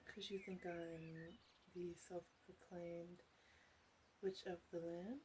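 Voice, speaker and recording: A woman playing a flirtatious, chatty witch of the woods in an ASMR roleplay, speaking in a soft, hesitant, playfully rambling way. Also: lowish voice, little pitch movement, slow pace, a little hiss or hum, rough recording. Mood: gentle, curious. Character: flirtatious, teasing forest witch, wry and chatty.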